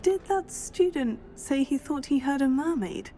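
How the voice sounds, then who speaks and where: A woman's voice: high voice, expressive, measured pace, warm tone, faint music behind. A woman playing a polite, confident, inquisitive teenage student witch in a video game. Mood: polite, curious. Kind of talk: scripted video game dialogue.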